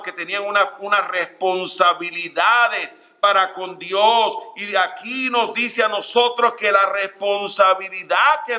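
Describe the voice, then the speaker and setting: A middle-aged man preaching a church sermon, earnest and direct, calling his listeners brothers and pausing on fillers. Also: sits high, bright, forceful tone. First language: Spanish. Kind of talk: Spanish-language church sermon. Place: church sanctuary.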